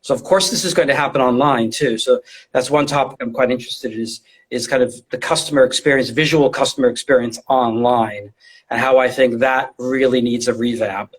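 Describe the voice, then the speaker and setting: A man's voice: mid-range voice, a little fast, slightly rough. Middle-aged man explaining informally, repeating himself. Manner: informal, explanatory, repeats words while thinking. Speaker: guest, retail design and visual merchandising specialist. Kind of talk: conversational interview explanation.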